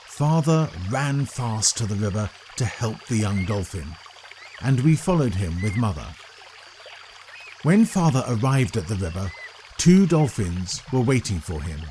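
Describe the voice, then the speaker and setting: A man narrating a scripted children's story in a deliberate, expressive storytelling manner. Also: medium pitch, slight background noise.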